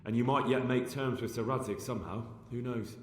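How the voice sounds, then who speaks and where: A man's voice: medium pitch, brisk, big-room sound, close to an everyday voice. A man playing a young medieval man-at-arms in a video game, dutiful, dryly confident and plain-spoken.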